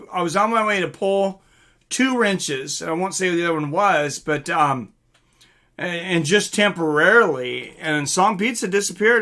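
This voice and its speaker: Bright-voiced man hosting a casual live stream. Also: a little high. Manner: informal, mildly profane, rambling. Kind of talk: casual live chat, reading out and answering viewer comments.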